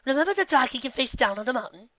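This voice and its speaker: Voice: high voice, big pitch swings, very fast, a bit bright, no room sound, muffled recording, pitched above the performer's own voice. A performer voicing a refined, fussy female unicorn with an affected, theatrical, genteel delivery. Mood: coaxing.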